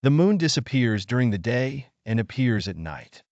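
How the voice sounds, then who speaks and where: A man's voice: mid-range voice, big pitch swings, normal speed, darkish tone, no room sound, lo-fi sound. A man voicing a thoughtful, cautious young girl in a children's storybook, speaking carefully. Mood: thoughtful answer.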